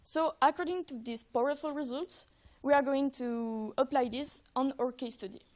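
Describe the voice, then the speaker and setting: Young woman, high-pitched, student presenter, academic summary, hesitant, simple non-native phrasing. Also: moderate pace, darkish tone. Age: young adult. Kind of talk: academic presentation of research results.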